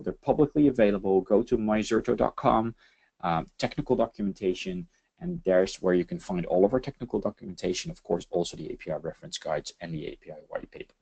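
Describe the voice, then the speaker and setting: Dutch-accented male presenter, non-native English, halting delivery, slightly low, gravelly voice. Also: dark tone. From Netherlands. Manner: halting, many fillers and repeated words. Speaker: webinar presenter, systems engineering lead. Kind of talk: webinar presentation over slides.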